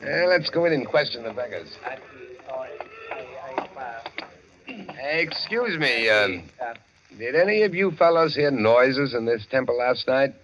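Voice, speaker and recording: An actor playing a shrewd, sceptical amateur detective with a deliberate, probing manner, in 1940s radio drama style. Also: male voice, medium pitch, very animated, fairly bright tone, faint music behind. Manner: deliberate, reasoning, questioning. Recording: dull, band-limited 1940s radio recording.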